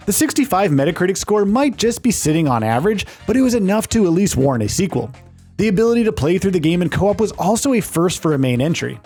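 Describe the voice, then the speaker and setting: A man with a fairly high voice narrates a scripted ranking video as its host. His intonation swings up and down in an upbeat presenter style. Soft music plays behind him.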